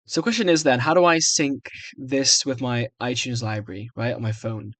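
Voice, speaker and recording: A young adult man with a medium-pitched voice talks casually at his computer in a small, dry-sounding room. He rambles and hesitates, muttering his reactions to himself as he goes. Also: normal speed, fairly bright tone, no room sound. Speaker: video creator. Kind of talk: casual screen-recorded software try-out commentary.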